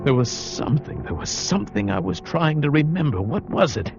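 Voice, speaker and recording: An actor playing an adventurer who narrates his own ordeal in a dramatic, halting, suspenseful radio style. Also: on the high side, sing-song, gravelly, music in the background. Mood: dazed, suspenseful. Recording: dull old radio broadcast recording.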